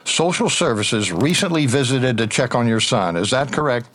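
An actor playing an officious police detective who interrogates with formal, pressing, insinuating questions in an audio drama. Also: male voice, mid-range voice, lively intonation, moderate pace, fairly bright tone. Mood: accusing. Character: needling, officious male internal-affairs detective interrogating a colleague.

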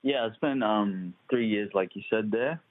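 A man's voice: lowish voice, measured pace. A young man, a footballer born in Kenya and raised in Adelaide, answering interview questions with many hesitant ums. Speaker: footballer guest. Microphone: phone call. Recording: tinny phone line.

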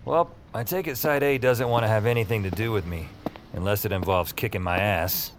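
A man's voice: fairly low pitch, fairly quick, noisy background, lightly acted. A man acting a rattled, sardonic everyman who narrates his own weird adventures in an audio drama. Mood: wry, playful. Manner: sardonic, self-talking, exclamatory.

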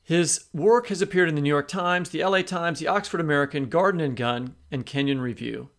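A man's voice: a little high, brisk, a bit bright. A man speaking as a podcast co-host, introducing and questioning in a discursive way, correcting himself as he goes.

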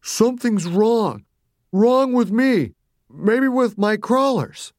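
A suffering mother turned monstrous, as an adult narrator plays her, with a strange, raised voice. She pleads haltingly and tearfully, weak and wounded, in a dark audiobook horror tale. The recording is very dry. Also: mid-range voice, expressive, no room sound, pitched above the performer's own voice. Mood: troubled.

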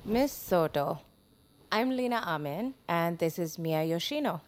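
Slow, controlled woman interrogator. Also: lowish voice, lively intonation, slight background noise. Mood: composed. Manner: deliberate, controlled, precise. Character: composed, doubting female government interrogator. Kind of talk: naturalistic audio drama acting.

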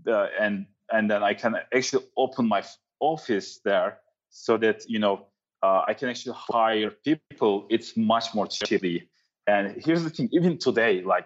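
A man from Turkey with a Turkish accent, answering interview questions in a halting way with many fillers. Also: on the low side, highs cut off. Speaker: guest, company founder.

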